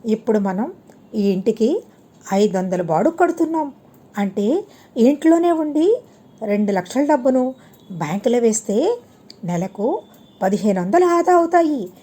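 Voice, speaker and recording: A woman's voice: on the high side, very animated, a little slow, long pauses, mellow. A woman voicing a thrifty father who rents his home, earnest and reasoning, in an audiobook story. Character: thrifty father Ramanatham, a worried male tenant.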